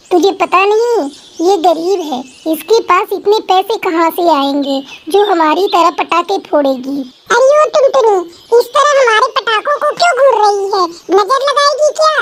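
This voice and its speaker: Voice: very high voice, lively intonation, normal speed, few pauses, background noise. A woman voicing a spoiled rich girl bird in a children's cartoon, sneering and bossy.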